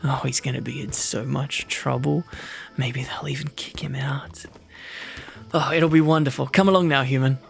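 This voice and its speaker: Male actor for tsundere wolf-man mercenary, ASMR roleplay; very gravelly, gruff, easily flustered, protective underneath, music underneath. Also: medium pitch, fairly even intonation, moderate pace, natural voice. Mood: bossy, protective. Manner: gruff, sardonic, stammers when flustered.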